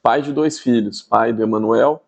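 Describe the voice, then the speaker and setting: Gravelly Brazilian man with a Minas accent. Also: mid-range voice, normal speed. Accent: Brazilian, Minas Gerais. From Espírito Santo, Brazil. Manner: explanatory, with frequent tag questions. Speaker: podcast guest, tech entrepreneur. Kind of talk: conversational interview.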